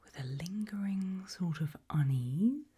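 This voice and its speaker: A British woman whispering an ASMR roleplay in a breathy voice, putting slow, deliberate questions with long pauses. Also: lowish voice, lively intonation, warm tone.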